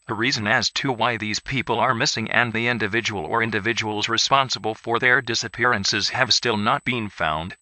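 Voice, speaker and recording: A man reading a scripted emergency announcement in a formal, impersonal, flatly official manner. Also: lowish voice, no room sound.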